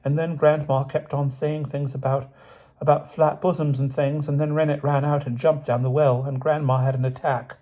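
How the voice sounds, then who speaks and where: A man's voice: fairly high pitch, flat delivery, moderate pace, voice pushed up, natural voice. Man doing a sleepy sweet young girl. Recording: dull lo-fi recording.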